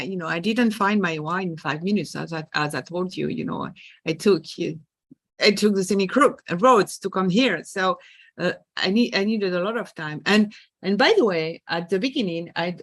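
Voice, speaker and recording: A woman's voice: mid-range voice, no room sound. A middle-aged woman from France with a French accent, speaking as a guest on a podcast about her work as a leadership coach, thoughtful and full of small restarts. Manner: thoughtful, with many fillers and restarts. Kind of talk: podcast interview.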